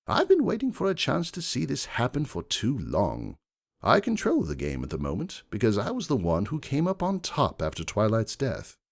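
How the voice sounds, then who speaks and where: A man playing a self-assured, wealthy gentleman stallion who argues emphatically and cuttingly in a dramatic fan fiction reading. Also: mid-range voice, huge pitch swings, moderate pace, creaky, voice pushed up, full character voice. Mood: defiant.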